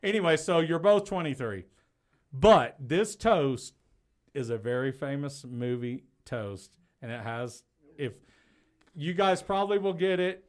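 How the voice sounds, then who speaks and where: A man in his forties chats as a podcast guest, playful and teasing, dropping the odd swear word. His voice sits at an ordinary middle pitch, and the talk is loose and joking. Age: 40s. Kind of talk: casual podcast chat over drinks.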